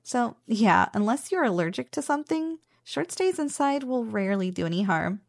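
A woman's voice: a little high, lively intonation, normal speed, vocal fry. A woman playing a playful, teasing, possessive slime girl roommate in an ASMR roleplay, coaxing and mock-dismissive. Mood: playful, reassuring. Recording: echoey reverberant sound, loud music behind. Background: loud background music.

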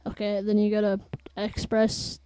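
Halting, mellow-voiced woman giving a casual tutorial. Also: medium pitch, monotone, smooth, no room sound. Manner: halting, informal, offhand. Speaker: tutorial presenter.

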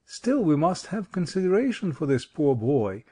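A man's voice: a little high, big pitch swings, normal speed, mellow, slightly rough, voice pushed up. A man voicing a kindly living scarecrow king in a storybook, reflective, courteous and reassuring in manner. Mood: thoughtful.

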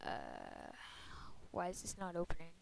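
A young woman with a soft voice giving a casual computer tutorial, chatty and full of fillers. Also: low-pitched, wildly swooping pitch, unhurried, gravelly, a little hiss or hum. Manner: soft-spoken. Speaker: tutorial maker. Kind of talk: screen-recorded computer tutorial. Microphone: computer microphone.

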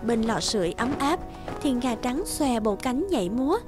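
A woman narrates a scripted cartoon fairy tale in a high voice. Clear music plays behind her storytelling. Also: big pitch swings, normal speed. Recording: music under the voice.

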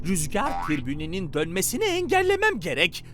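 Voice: medium pitch, huge pitch swings, fairly quick. An actor voicing a scheming, gloating, easily exasperated villain in a children's cartoon, theatrical and ranting, with music behind.

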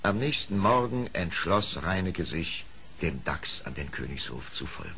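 A man narrating an old audio play as a storyteller, measured and expressive, in dramatic scripted style. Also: sits low. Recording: faintly hissy old audio play record.

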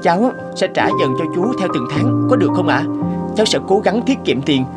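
Humble devoted young man, cartoon dub, earnest, expressive intonation, music behind. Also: sits high, fairly quick. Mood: humble, anxious. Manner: polite, earnest, pleading. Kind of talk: animated moral-tale dubbing. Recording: music under the dialogue.

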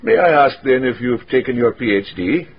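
An actor playing a bookish gentleman lawyer in a radio drama, formal, inquisitive and deliberate. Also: medium pitch, expressive, slightly rough. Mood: probing, courteous. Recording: dull radio recording, faint noise.

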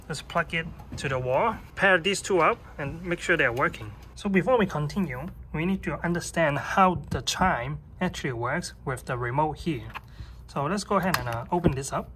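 A man's voice: on the high side, expressive, moderate pace, sharp tone, a little hiss or hum. A man in his thirties presenting a casual, hands-on tech tutorial and explaining it step by step.

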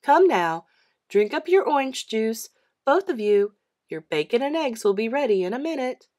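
A patient mother in a children's storybook, voiced by a woman reader. She is gently firm and coaxing, stressing her words with very varied intonation. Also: fairly high pitch, big pitch swings, normal speed, voice pushed up. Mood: gently chiding. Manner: emphatic, coaxing.

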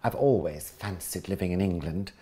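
A scheming lady hyena full of excited plans, bossy and coaxing, as a man reads her aloud from a storybook. She talks with a mellow, low-set tone and a quick, eager lift, putting on airs when she plays the grand lady. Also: lightly acted. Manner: excited, coaxing, goes posh.

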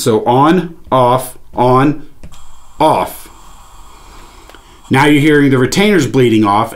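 A man in his forties giving an instructional product demonstration, explaining things step by step. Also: mid-range voice. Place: small indoor studio with padded panel wall, seated at a table.

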